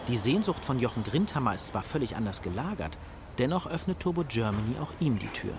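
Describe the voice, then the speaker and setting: An actor as a wry, composed narrator of a radio play, speaking in a measured literary way with a husky voice. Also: male voice, medium pitch, very animated, noisy background.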